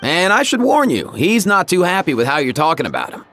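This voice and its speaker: A man's voice: on the high side, huge pitch swings, fairly quick, a bit bright. An actor playing an amiable, sensible young man with a casual manner in video game dialogue. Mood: amused. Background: music.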